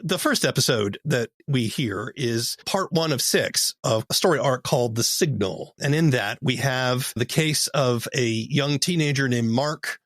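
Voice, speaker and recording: A man's voice: medium pitch, lively intonation, a little slow, hardly any pauses, a bit bright, no echo, clean studio sound. A man hosting a conversational interview, explaining and commenting as he goes.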